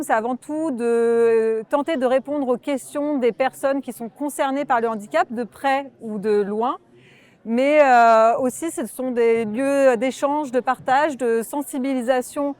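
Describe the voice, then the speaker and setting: A woman giving an unscripted interview as an organiser, measured and often pausing to find her words. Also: a little high, a little mellow.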